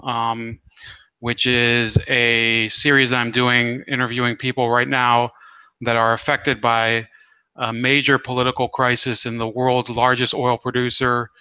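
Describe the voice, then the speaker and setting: A man hosting a casual interview in a halting way, as if thinking aloud. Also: medium pitch, flat delivery, bright, forceful tone, clear, resonant tone, dry recording, dull audio. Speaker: interview host.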